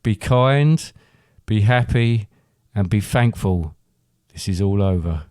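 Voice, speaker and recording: A man's voice: lowish voice, lively intonation, slow, a little mellow, clear, resonant tone, no echo, studio quality. A man reading scripted storybook narration as the narrator of a comic tale.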